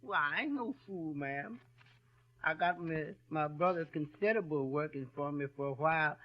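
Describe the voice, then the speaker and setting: An actor playing a slow-witted, easygoing fairground worker with a folksy manner in 1930s radio comedy. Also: male voice, on the high side, close to an everyday voice. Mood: placid, matter-of-fact. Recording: dull 1930s radio broadcast.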